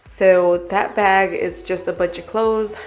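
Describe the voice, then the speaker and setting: A woman's voice: medium pitch, steady tone, slow pace. A young woman with a cold, chatting casually to camera about cleaning her house, with fillers and small laughs. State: husky from a cold. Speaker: vlogger.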